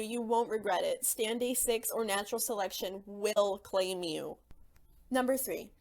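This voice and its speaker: A woman's voice: mid-range voice, a little mellow, a little hiss or hum. Opinionated young woman, slangy commentary. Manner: opinionated, casual slangy phrasing. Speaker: YouTube commentator.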